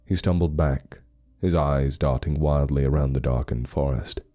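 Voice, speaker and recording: Very deep, dark-voiced male horror narrator. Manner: slow, suspenseful, dramatic delivery.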